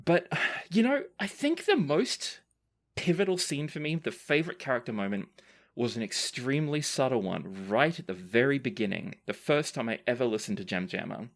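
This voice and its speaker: A man's voice: medium pitch, expressive, moderate pace, creaky. A man hosting a casual question-and-answer chat as game master, speaking in a sincere, appreciative way. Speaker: podcast game master and Q&A host.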